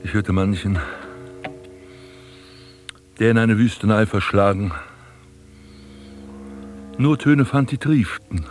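Melancholy bitter old-world prince, lowish voice, very theatrical radio drama, music going behind him. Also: male voice, takes it slowly, long pauses. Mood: brooding. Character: embittered, melancholy aristocratic prince recalling his wasted life and burial. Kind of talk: German radio drama acting.

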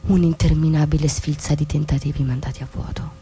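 An actress playing a disillusioned, coldly defiant wife in a theatrical radio drama, speaking deliberately with pointed pauses. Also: female voice, sits low, fairly even intonation, mellow, slightly rough. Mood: sombre, resigned. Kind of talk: theatrical stage-play acting for radio.

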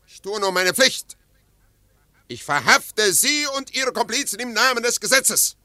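Stern official pursuer for radio drama, high, forceful, dry recording, formal orders. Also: male voice, lively intonation, a little slow, no echo, exaggerated voice. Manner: formal, commanding. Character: stern, dutiful secretary, a detective in pursuit. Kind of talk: German radio play acting.

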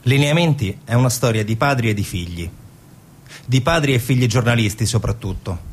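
A man with a husky voice giving scripted dramatic narration for a theatre piece. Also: medium pitch, expressive, brisk.

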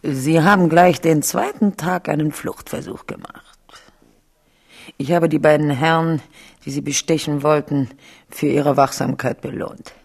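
An actress playing a scheming older queen mother in a German radio play, measured, condescending and falsely motherly. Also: low voice, steady tone, gravelly, darkish tone, breathy edge, full character voice. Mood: quietly menacing.

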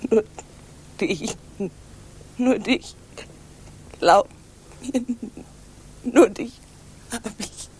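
An actress in a 1950s radio drama playing a frail, dying young wife, feverish and pleading in halting speech. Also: female voice, a little mellow. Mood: desperate devotion. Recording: noisy 1950s radio recording, low rumble.

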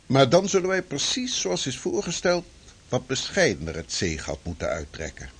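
An actor playing a shrewd 16th-century preacher who speaks in a lively, formal, old-fashioned way. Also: male voice, mid-range voice, normal speed. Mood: earnest. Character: shrewd 16th-century Dutch preacher, fierce against Spain. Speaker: preacher and cartographer. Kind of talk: radio drama acting.

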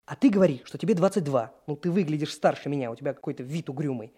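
Husky, scheming young man. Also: a little high, fast, warm tone. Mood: scolding, persuading. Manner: conspiratorial, persuasive. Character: brash, scheming young male police trainee. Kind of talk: radio drama acting.

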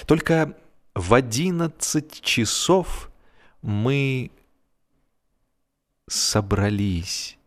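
A man reading a humorous story as an expressive audiobook narrator, theatrical and measured, with dramatic pauses. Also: medium pitch. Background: background music.

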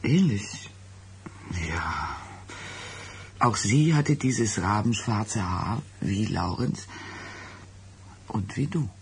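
An actress playing an evasive, flustered woman guarding a secret in a German radio drama, halting and hesitant. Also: a bass voice, lively intonation, darkish tone, slight background noise, full character voice.

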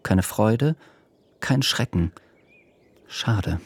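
A man playing the measured narrator of an uneasy literary radio drama, reading scripted prose. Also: fairly low pitch, lively intonation, very noisy.

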